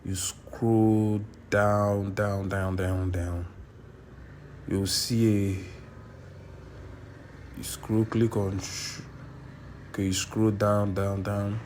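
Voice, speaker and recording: A man's voice: fairly low pitch, flat delivery, extremely slow, pauses often, darkish tone, slight background noise. A man from Nigeria, walking through a screen-recorded tutorial at his computer, repeating himself and correcting his own words as he goes. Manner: repetitive, insistent, self-correcting. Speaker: YouTube tutorial creator. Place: indoors at a computer.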